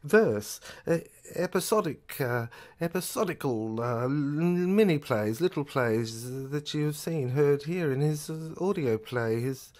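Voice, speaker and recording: A woman's voice: deep voice, animated, unhurried, a little mellow. A woman playing an obsessive, rambling philosopher in an audio drama, stammering and repeating words. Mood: halting, earnest. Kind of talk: dramatic audio play monologue.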